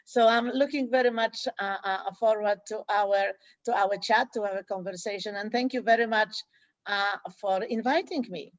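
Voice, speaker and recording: Non-native English-speaking woman from Poland, school director in an interview; halting delivery with frequent fillers. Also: medium pitch, no echo, highs cut off. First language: Polish. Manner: hesitant, many fillers and restarts. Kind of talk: interview conversation.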